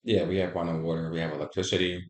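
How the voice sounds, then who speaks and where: A man with a fairly low voice answers as a patient in a roomy, echoing space. His replies are short, conversational and matter-of-fact. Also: level tone, rapid-fire. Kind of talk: brief conversational answers to questions.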